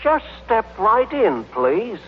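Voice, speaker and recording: Obsequious butler type, vintage radio comedy; high, slow, formal, reverberant dull recording. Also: male voice, very animated, full character voice. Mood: deferential. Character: deferential, formal servant greeting guests. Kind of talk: old-time radio comedy acting. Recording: muffled, noisy, echoing old radio recording.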